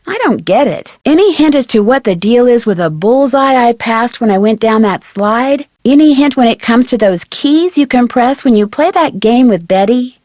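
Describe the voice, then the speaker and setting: Game lead: young female amateur detective, fairly high voice, inquisitive and polite, crisp diction, dry studio sound. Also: darkish tone, no echo. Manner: clearly enunciated, inquisitive. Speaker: voice actor playing a game's lead character. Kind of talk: scripted video game dialogue.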